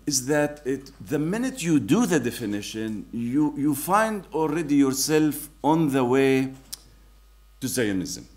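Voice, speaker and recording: A man's voice: medium pitch, big pitch swings, unhurried, a little mellow. A man on an academic panel, earnestly explaining his argument.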